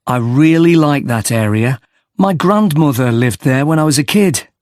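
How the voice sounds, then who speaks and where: A man's voice: a little high, expressive, normal speed, a little mellow, dry recording, clean studio sound, close to an everyday voice. A man playing a polite, sympathetic street interviewer asking transport survey questions in a scripted listening-test dialogue. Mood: friendly.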